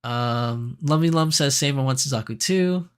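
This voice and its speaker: A man hosting a casual livestream, chatting and reading viewer comments, his voice raspier than usual because he is sick. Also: medium pitch, normal speed. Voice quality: raspy. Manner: chatty, animated, laughs easily, frequent fillers. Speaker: livestream host.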